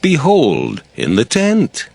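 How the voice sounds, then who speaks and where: An actor playing a very old, humble and earnest patriarch in a dramatized scripture reading, with a pleading delivery. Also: male voice, mid-range voice, huge pitch swings, slow pace, creaky, darkish tone, heavily acted.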